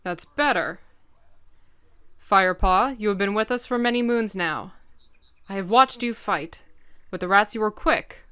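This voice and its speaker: A woman playing a stern, commanding warrior cat leader and mentor in an audiobook drama, speaking firmly and with deliberate phrasing. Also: medium pitch, pushed and bright, smooth, dry recording. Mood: intense, measured. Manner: firm, measured, deliberate. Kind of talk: fan-dub audiobook drama acting.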